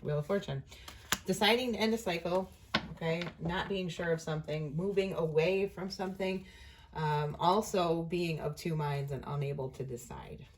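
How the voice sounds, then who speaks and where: A woman giving a casual, unscripted tarot reading, talking conversationally and thinking aloud with pauses as she goes. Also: on the low side. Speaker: tarot reader hosting an online reading.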